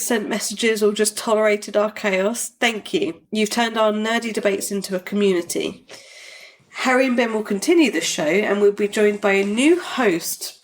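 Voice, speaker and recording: A woman co-hosting a casual podcast, her voice bunged up from a cold. She talks with a dry, self-mocking humour, her pitch sitting mid-range for a woman. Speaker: podcast co-host.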